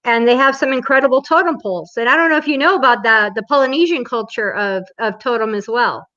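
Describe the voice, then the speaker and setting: A woman's voice: a little high, quick pace, sharp tone. A woman from Hawaii interviewing conversationally, earnestly explaining and offering long personal asides. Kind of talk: casual, reflective conversational interviewing. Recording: slightly dull, lo-fi sound.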